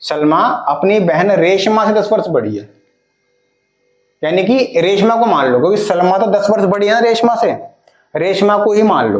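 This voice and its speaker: A man in his twenties teaching math for an exam, addressing students directly and reading word problems aloud slowly, word by word. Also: high-pitched, echoey room. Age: 20s. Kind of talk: exam-prep video lesson.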